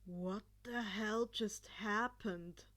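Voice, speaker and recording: A woman voicing a crude, aggressive teenage boy bully, loud and sneering, in a fan fiction audiobook. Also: mid-range voice, drawn-out words, voice pushed down. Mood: stunned anger. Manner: loud, sneering, swearing demands.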